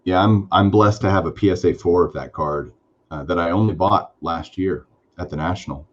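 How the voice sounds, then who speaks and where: A man's voice: deep voice, moderate pace, muffled recording. A man co-hosting a sports card podcast, talking casually and enthusiastically with lots of fillers. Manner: enthusiastic, rambling with frequent fillers. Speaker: podcast co-host.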